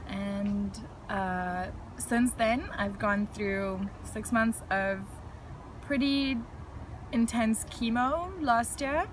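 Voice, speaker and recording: A woman in her thirties from South Africa talks through her story as an interview guest, frank and chatty, sometimes laughing lightly. Her pitch is mid-range for a woman, and faint noise sits under the recording. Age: 30s.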